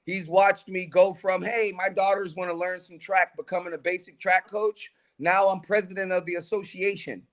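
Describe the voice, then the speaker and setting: A man's voice: high voice, flat delivery, moderate pace, few pauses. A man in his forties, a track coach, talking in a casual podcast conversation in an emphatic, motivational way. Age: 40s.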